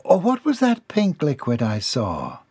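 Sugary, awkward mom voiced by a reader, lifted and slow. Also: medium pitch, huge pitch swings, a little mellow, breathy edge, dry recording, clean studio sound, voice pushed up, exaggerated voice. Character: awkward, fussy visiting mother.

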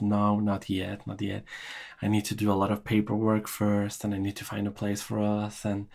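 A man telling a personal story in an interview, hesitant and full of restarts. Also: lowish voice. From Norway. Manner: hesitant, many restarts, emotional reminiscing.

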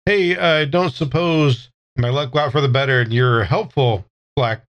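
A man with a medium-pitched voice takes his turn in a tabletop role-playing game. He improvises casually, with offhand, slightly hesitant phrasing. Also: moderate pace, a bit bright.

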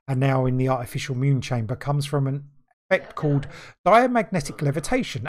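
A man's voice: medium pitch, darkish tone. A man co-hosting a podcast, reading a news story aloud and stumbling over words, then chatting casually. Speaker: podcast co-host.

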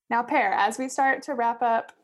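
A woman hosting a business podcast, bubbly and laughing often between questions. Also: a little high. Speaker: podcast host. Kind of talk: podcast interview.

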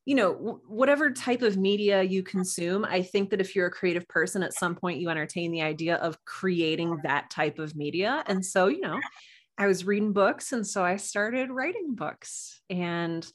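A woman's voice: lowish voice, lively intonation, moderate pace. A woman from the United States talking as a podcast guest, chatty and explanatory in a casual interview.

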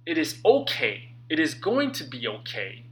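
A man narrating his own self-help book in an expressive, earnest and emphatic way, with dramatic phrasing and pauses. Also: fairly high pitch. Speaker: author reading own book.

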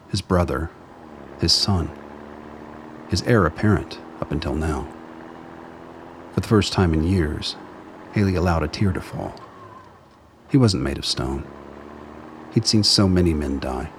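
A man narrating a radio play in a measured, solemn storytelling manner. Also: low voice, noisy background.

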